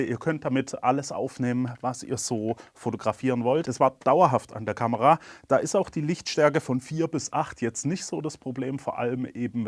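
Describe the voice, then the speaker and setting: A man's voice: medium pitch, no room sound. A man with a cold, candidly talking through his camera gear in a casual review. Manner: candid, chatty, plain-spoken. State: has a cold.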